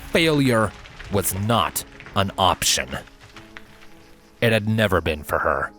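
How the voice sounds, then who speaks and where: A man reading a scripted fantasy story as narrator, in a measured, dramatic and solemn way. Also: low voice, big pitch swings, fairly bright tone, soft background music.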